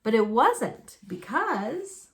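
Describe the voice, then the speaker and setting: A woman reading a children's storybook aloud expressively and deliberately, with dramatic pauses. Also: fairly high pitch, sing-song. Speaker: storybook reader.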